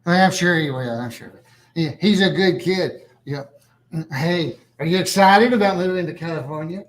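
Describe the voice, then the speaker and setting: A man's voice: fairly high pitch, moderate pace, lightly acted. A man playing a chatty, genial small-town official in a sitcom table read, rambling in a folksy way and repeating himself. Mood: friendly chatty.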